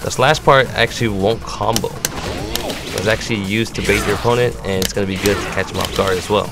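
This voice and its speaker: A man's voice: fairly low pitch, steady tone, brisk, vocal fry. A man giving step-by-step tutorial commentary on a fighting game, explaining as a gamer presenting a demo. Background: music.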